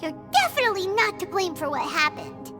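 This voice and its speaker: A woman voicing a tiny, excitable, childlike fairy girl companion in a video game, squeaky and exclamatory. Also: fairly quick, loud music behind the voice. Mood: pleading. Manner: third-person self-reference, exclamatory.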